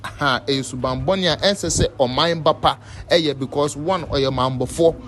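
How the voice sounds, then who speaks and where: A Ghanaian man with a slightly high-pitched voice presents news in an informal commentary style. He repeats phrases and drops in English words. Faint background noise sits behind him. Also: a little slow, fairly bright tone. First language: Twi.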